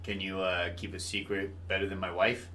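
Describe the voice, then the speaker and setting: An actor playing a guarded, conspiratorial husband with military ties in an audio drama, speaking in a hesitant, confiding way. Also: male voice, low voice, flat delivery, moderate pace, bright, forceful tone, slight background noise. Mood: wry, secretive.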